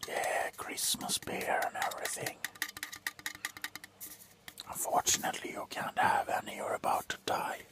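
Man acting a grumpy, whispering drunk doctor. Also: lightly acted. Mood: stern, menacing. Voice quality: breathy whisper. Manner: slow, sullen, sarcastic, tipsy. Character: grumpy, callous, drunken male doctor on a house call. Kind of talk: whispered ASMR roleplay. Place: small cluttered room indoors.

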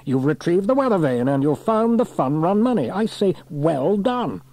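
A man voicing a hearty, commanding fire station officer in a children's storybook, with crisp, authoritative delivery. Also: high-pitched, sing-song, normal speed, a little mellow, no echo, voice pushed up, low-quality recording. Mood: pleased.

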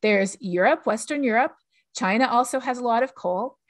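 A middle-aged woman, an author, talking in a lively way on a video call from a home office, asking rhetorical questions as she explains. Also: fairly high pitch, normal speed, no room sound, clean studio sound. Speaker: author and guest.

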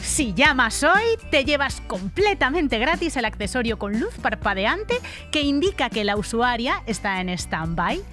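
A woman with a Spain accent voicing a mock-earnest female infomercial announcer, emphatic and deliberate, in a radio parody. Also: big pitch swings, pushed and bright, faint music behind, exaggerated voice. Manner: emphatic, deliberate sales pitch.

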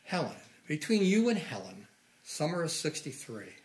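Sardonic older American man, swooping pitch. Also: sing-song, normal speed, low-quality recording. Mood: bitter, hurt. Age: 60s. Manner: blunt, sardonic. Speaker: actor. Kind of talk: naturalistic film dialogue.

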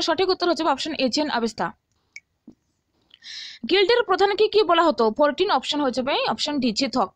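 A woman's voice: very high-pitched, a bit bright, dry recording. A woman teaching as a tutor, reading out exam questions and answers and often checking that listeners follow.

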